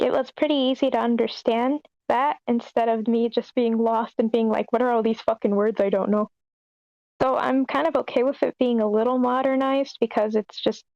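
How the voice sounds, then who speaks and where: Casting: woman, informal and a little unsure, strong vocal fry, slightly dull call sound. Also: on the high side, darkish tone, no room sound. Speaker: table read participant giving feedback. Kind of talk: casual spoken feedback. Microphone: online meeting call.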